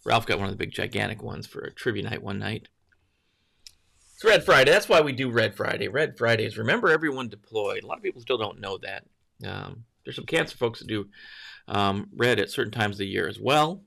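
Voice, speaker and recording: A man with a gravelly, fry-edged voice chats loosely through a morning livestream. He greets viewers one by one, wanders between topics and corrects himself as he goes. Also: lowish voice, lively intonation, brisk, fairly bright tone. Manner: rambling, greets viewers by name, self-correcting. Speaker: livestream host. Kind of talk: casual morning livestream chat.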